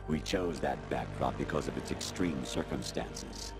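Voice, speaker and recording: A man voicing a cold, condescending artificial intelligence in a video game cutscene, lecturing in a measured, disdainful way. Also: rumbling low. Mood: smug lecturing. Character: cold, condescending male artificial intelligence posing as human authority. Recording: loud music behind the voice. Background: loud music.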